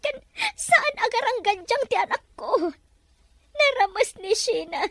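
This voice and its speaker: Protective middle-aged Ilocano mother for radio melodrama, played by an actress, anxious, insistent, very high-pitched. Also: expressive, a little slow, no echo, exaggerated voice. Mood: pained protest. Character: worried, protective mother and aunt, gentle but insistent.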